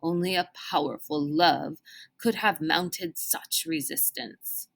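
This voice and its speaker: A woman reading aloud as a formal, kindly older gentleman mentor who speaks in deliberate, old-fashioned phrases. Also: a little high, vocal fry, voice pushed down, full character voice. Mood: earnest explaining.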